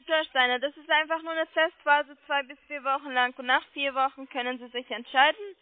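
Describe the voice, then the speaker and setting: A woman with a high-pitched voice makes a sales call. She is polite but persistent, coaxing the listener with questions. The sound is a dry, narrow telephone line. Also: steady tone, a little fast, dry recording. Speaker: telemarketing caller selling online trading. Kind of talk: sales pitch over the phone. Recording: muffled phone line.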